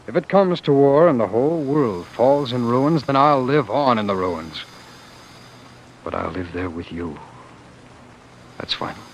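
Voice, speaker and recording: An actor playing a meek, dreamy husband who imagines himself a great leader, in earnest, dramatic old-time radio style. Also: male voice, medium pitch, lively intonation, unhurried, long pauses, a little hiss or hum, low-quality recording. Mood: grave, resolute. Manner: earnest, dramatic, brooding, hesitant. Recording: vintage radio broadcast with music behind.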